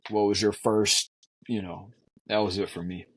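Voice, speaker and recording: A man in his forties from Houston talks through his past in an earnest, measured way, stopping on little fillers. His voice sits on the lower side. The sound is close and dry, with no room around it. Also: little pitch movement, slightly rough, no room sound. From Houston, Texas. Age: 40s. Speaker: physician guest. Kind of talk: reflective conversational storytelling.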